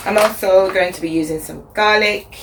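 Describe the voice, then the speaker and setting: A woman vlogging casually as she cooks at home. Her voice is husky from the flu, and she talks chattily with plenty of fillers. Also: mid-range voice, normal speed, a bit bright, soft background music. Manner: chatty, upbeat, thinks aloud with fillers. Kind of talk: casual cooking vlog. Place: home kitchen. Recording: echoey room, faint background noise.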